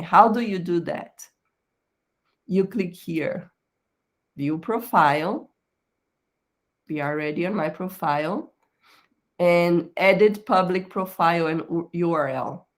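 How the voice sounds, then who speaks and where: Woman career coach, slightly lower voice, patient step-by-step webinar delivery, often checks in with "okay?". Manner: explanatory, checks in with "okay?", non-native phrasing. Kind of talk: screen-share tutorial on a professional profile.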